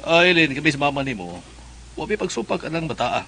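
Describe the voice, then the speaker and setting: A man's voice: fairly high pitch, very animated, fairly quick, bright, forceful tone, a little hiss or hum, exaggerated voice. A man with a Cebuano Filipino accent playing an approving, forthright middle-aged father in a radio drama.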